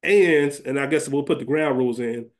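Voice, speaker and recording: A man's voice: mid-range voice, normal speed. A man hosting a podcast, chatting casually about television in an informal, slangy, conversational way. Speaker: podcast host.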